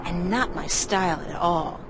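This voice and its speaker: A woman's voice: lowish voice, a little slow, over music, lightly acted. A woman playing a sardonic, guarded young art student in a video game, with a wry, sarcastic, conversational delivery. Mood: wry, musing. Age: young adult. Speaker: game protagonist. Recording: clearly noisy ambient background.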